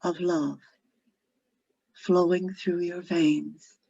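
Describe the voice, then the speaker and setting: Woman, meditation guide, very slow soothing delivery, gentle phrasing, long pauses, roomy echo. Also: on the low side, little pitch movement, gravelly, breathy edge. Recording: dull lo-fi audio, roomy echo.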